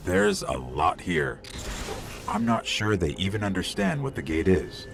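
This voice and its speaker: Low, creaky, wry robot companion. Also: male voice, sing-song, measured pace, exaggerated voice. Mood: focused, urgent. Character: small floating robot companion, male, clever, wry, guiding. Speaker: game companion guide. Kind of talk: scripted video game dialogue. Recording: loud music behind the voice. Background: loud music.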